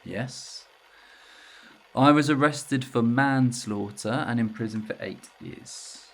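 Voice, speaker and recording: An actor playing a bitter, self-loathing widowed ex-convict confessing to murder in an audio drama, with halting delivery. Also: male voice, mid-range voice. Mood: subdued, ashamed.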